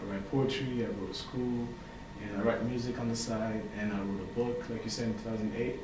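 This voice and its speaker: A man's voice: on the low side, fairly quick. Chatty young man from Haiti, echoey room. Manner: relaxed, chatty, many fillers and "you know". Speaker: poet and writer, guest. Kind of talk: casual interview chat. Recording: echoey room, mic far off, noisy.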